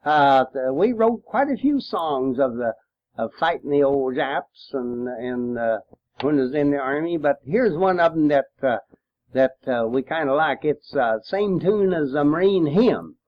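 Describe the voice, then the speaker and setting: Slow-talking older man, folksy storyteller. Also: on the high side, expressive, lo-fi sound. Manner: folksy, rambling storytelling with long hesitations. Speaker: war veteran recounting memories. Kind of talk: informal spoken reminiscence.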